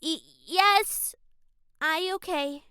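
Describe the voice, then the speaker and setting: A woman playing a nervous, sickly young girl in an audio drama, speaking in timid, broken, halting phrases. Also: extremely high pitch, pushed and bright, dry recording, clean studio sound, exaggerated voice. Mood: strained, hurried. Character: nervous, sickly young girl, otherworldly creature in human disguise.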